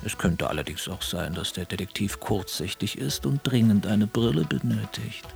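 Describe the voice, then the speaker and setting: An actor in a radio play, playing a pedantic, solemnly absurd man with a creaky voice, lecturing like a scholar. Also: fairly low pitch, normal speed, soft background music.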